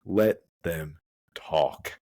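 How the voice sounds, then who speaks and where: A man's voice: very slow, dark tone. A man co-hosting a podcast in casual chat, emphatic and stumbling over restarts as he rants. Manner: emphatic, full of restarts and stammers; swings up high when worked up. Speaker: podcast co-host.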